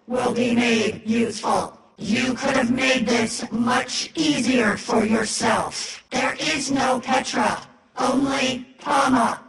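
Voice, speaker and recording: An actress voicing a cold, menacing machine hive mind in a video game, deliberate and detached, with echoing robotic processing. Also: female voice, sits high, steady tone, gravelly, exaggerated voice. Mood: cold, threatening. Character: cold female machine hive mind speaking through captive. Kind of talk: video game villain dialogue. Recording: heavy echo, faint music behind.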